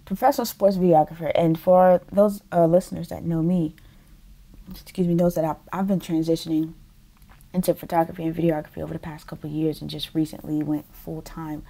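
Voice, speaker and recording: A woman's voice: sits low, flat delivery, brisk, vocal fry, dark tone. A young adult woman from the United States hosting a podcast interview, upbeat and sometimes searching for words, on an earbud mic over a video call from home. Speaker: podcast host. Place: home room. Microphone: earbud headset mic on a video call.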